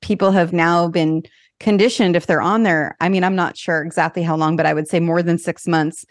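A woman's voice: fairly low pitch, steady tone, no echo, studio quality. A woman hosting a podcast, chatty and enthusiastic as she talks with her guest. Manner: enthusiastic, chatty, quick laughs. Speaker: podcast host. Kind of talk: podcast interview.

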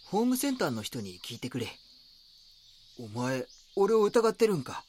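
Gruff, animated Kansai shopkeeper. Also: male voice, a little high, normal speed. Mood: defensive, irritated. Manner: blunt, curt, casual dialect. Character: gruff, defensive local shopkeeper, suspicious of outsiders. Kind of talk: audio drama acting.

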